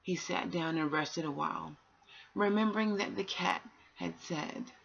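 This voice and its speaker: A dull, lo-fi home recording of a woman reading a children's bedtime story aloud. Her voice sits a little low and is husky. She takes her time, breaking the narration into short, measured phrases. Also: a bit bright. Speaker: amateur bedtime story reader.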